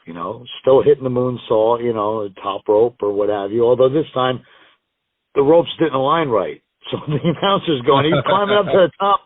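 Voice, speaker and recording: A man's voice: mid-range voice, moderate pace, dry recording. A man from the United States, a wrestler guest, telling stories in a rambling, self-deprecating way and laughing often. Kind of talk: casual interview storytelling. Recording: tinny dry phone line.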